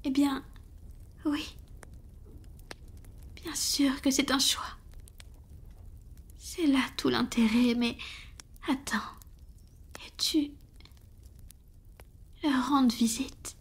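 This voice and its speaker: A woman playing a tender, devoted female vampire in an intimate roleplay audio, whispering slowly and hesitantly to her lover. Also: high-pitched, fairly even intonation, exaggerated voice. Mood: surprised, hopeful. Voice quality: breathy, whispered. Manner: slow, hesitant, affectionate, addressing a lover.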